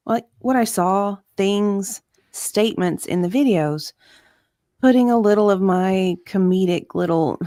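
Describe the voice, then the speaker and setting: A woman co-hosting a dramatic reading of testimony, speaking in a conversational way with measured phrasing and some casual chat. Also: medium pitch, a little mellow, no echo, studio quality. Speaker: co-host reading a witness's part from a deposition.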